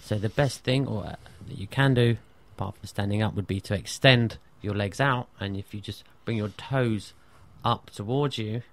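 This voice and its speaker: A middle-aged man speaks as a guest in a radio studio. His voice is on the low side. He explains things in the casual, practical style of a fitness trainer. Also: moderate pace, few pauses.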